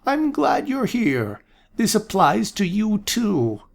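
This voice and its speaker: A doddering old seer who loses track of his thoughts, pitched well above the narrator's own voice. His rasp is gravelly and husky, and his words come out slowly and vaguely. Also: huge pitch swings, full character voice. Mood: muddled. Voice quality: raspy. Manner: slow, vague, repeats himself. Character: doddering old male seer, confused and forgetful. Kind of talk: audiobook character voice.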